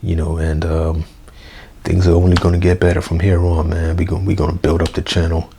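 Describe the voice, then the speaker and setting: A young man, hoarse, rambling through a casual unedited review vlog with lots of fillers and slang. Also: sits low, completely flat delivery, a little fast, mellow, a little hiss or hum. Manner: rambling, full of fillers like "you know" and "man". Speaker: wrestling review vlogger. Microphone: basic mic, plans a new one.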